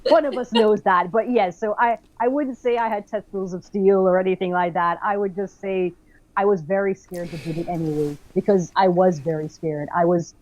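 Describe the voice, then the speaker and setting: A woman's voice: mid-range voice, steady tone, moderate pace, darkish tone, muffled recording. A woman from Jamaica, a filmmaker, telling her story as an interview guest in an earnest way and laughing between phrases. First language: not French.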